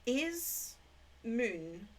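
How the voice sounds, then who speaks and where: A woman streamer chatting in character during a roleplay game, thinking aloud with plenty of ums and pauses. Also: a little high, sing-song, a little hiss or hum. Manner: hesitant, thinking aloud with many fillers. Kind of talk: in-character roleplay chat while gaming.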